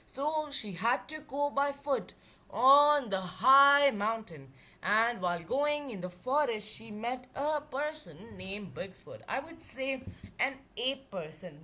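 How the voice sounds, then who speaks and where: A woman reading and retelling a children's story aloud, expressive, with emphatic drawn-out words. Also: on the high side.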